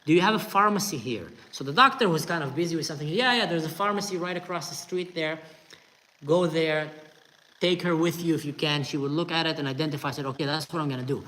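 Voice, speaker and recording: A middle-aged man with an Israeli accent, telling a story from his own life in a lively casual way and acting out the voices he quotes. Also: on the high side, quick pace. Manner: animated, acts out quoted voices, tense retelling. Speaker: storyteller host.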